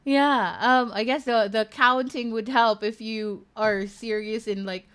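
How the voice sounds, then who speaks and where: Chatty woman host, slightly high voice. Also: steady tone, unhurried, clean tone. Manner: chatty, laughs often, warm check-ins. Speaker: radio stream host. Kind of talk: casual interview chat.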